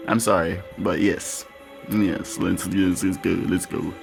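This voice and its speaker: A man with a deep, low-pitched voice and a lilt talks through a game session in a loose, wandering way. He is candid and drops the odd curse as he goes. His own music plays faintly underneath. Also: steady tone, slow pace, gravelly. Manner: rambling, candid, swears casually. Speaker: gaming channel host. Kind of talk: casual gameplay commentary. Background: his own music playing faintly.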